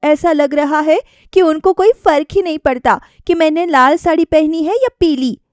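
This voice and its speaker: Lady doing a young daughter-in-law, high voice, earnest, plaintive, eager to please. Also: moderate pace, no echo, studio quality, natural voice. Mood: hurt, plaintive.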